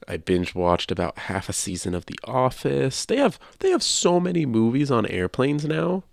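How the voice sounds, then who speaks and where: A man with a creaky voice co-hosting a casual video game podcast, chatting conversationally. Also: big pitch swings, normal speed, no echo. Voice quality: low creaky voice. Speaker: podcast co-host. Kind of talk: casual podcast chat about video games.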